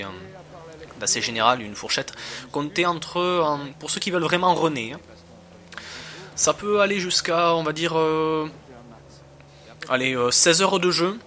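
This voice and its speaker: A man's voice: fairly high pitch, pushed and bright. A man giving casual gameplay commentary as a reviewer, talking in a slangy, hesitant, rambling way. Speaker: video game reviewer.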